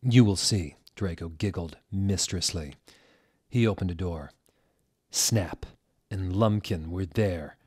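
A young man giving a mock-dramatic reading, deadpan with theatrical emphasis, close on a desk microphone. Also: low voice, slow, long pauses, husky. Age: young adult. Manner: deadpan, reads misspellings literally with theatrical emphasis. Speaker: reader, show host. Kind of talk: mock-dramatic reading of bad fan fiction. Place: indoor room at a table. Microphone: desk microphone on a stand, close.

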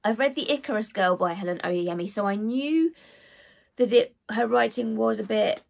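A woman in her thirties with a cold and a croaky voice, chatting to camera about books she read, with lots of ums and asides. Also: medium pitch, moderate pace, a little mellow. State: hoarse from a cold. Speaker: book vlogger. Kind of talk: casual chat to camera. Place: living room with bookshelves.

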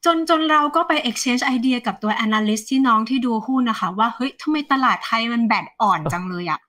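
A woman in her thirties, an economist guest, explaining the market in a lively, conversational way over a video call. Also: a little high, moderate pace. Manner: animated, refers to herself by nickname, mixes English terms. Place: plain indoor room, video call.

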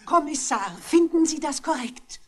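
A woman's voice: sits high, moderate pace, natural voice. An actress playing a genteel, indignant old lady under suspicion in a 1960s German radio crime drama. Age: older.

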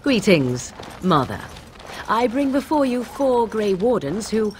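Slow, arch young witch. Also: mid-range voice, big pitch swings, a little mellow, a little hiss or hum. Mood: arch, amused. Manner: arch, formal, deliberate. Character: young wild witch, sly and aloof. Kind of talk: fantasy video game acting.

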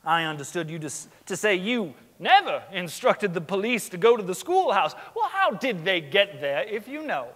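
A young male actor plays a formal, insistent man of law in a courtroom stage drama. His high voice moves expressively and echoes through the hall. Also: sing-song, moderate pace, hardly any pauses, fairly bright tone, full character voice. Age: young adult. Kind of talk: theatrical courtroom reading.

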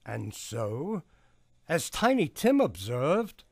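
A man playing the storyteller of a Victorian Christmas tale in a radio play, with measured, theatrical phrasing. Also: a little high, wildly swooping pitch, creaky, slightly rough, no echo, studio quality, exaggerated voice. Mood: fond, solemn. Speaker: narrator.

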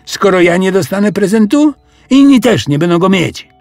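A man's voice: high-pitched, sing-song, moderate pace, creaky, darkish tone, no echo, soft background music, voice pushed up, full character voice. A man voicing a rude, vengeful schoolboy bully with a threatening manner in a children's audio story. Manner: combative, threatening.

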